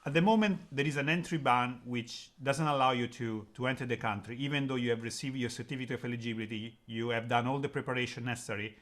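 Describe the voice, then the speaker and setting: A man's voice: medium pitch, fast talker, hardly any pauses, fairly bright tone. Man hosting an interview channel. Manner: friendly, hesitant with frequent uh fillers, non-native phrasing. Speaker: YouTube channel host, interviewer. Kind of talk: video call interview.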